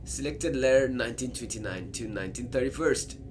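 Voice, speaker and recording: A man's voice: medium pitch, a little fast, a little mellow, a little hiss or hum. A man hosting a let's play, talking casually as he thinks aloud and reads out game text. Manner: chatty, thinks aloud, repeats himself. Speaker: gamer, let's play host. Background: game music.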